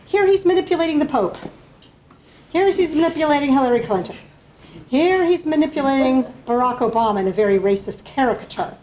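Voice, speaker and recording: A woman delivers an academic lecture as a panelist, walking her listeners through slides. Her voice sits a little high, and she explains patiently, pausing often to check that the audience is following. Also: lively intonation, a little mellow, low-quality recording.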